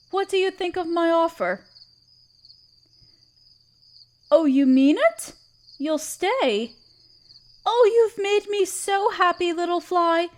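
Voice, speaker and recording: A woman playing a gentle, protective half-spider queen in a roleplay audio drama, speaking tenderly and soothingly to the listener. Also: very high-pitched, exaggerated voice. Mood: happy, caring. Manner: tender, soothing, hesitant and coaxing. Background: chirping crickets.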